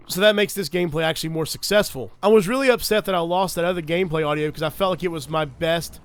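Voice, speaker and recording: A man's voice: fairly high pitch, clear, resonant tone. A young man, getting over a cold, gives a chatty, earnest voice-over about his gameplay as a gaming creator. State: recovering from a cold. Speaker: gaming YouTuber. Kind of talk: casual gameplay commentary and loadout guide.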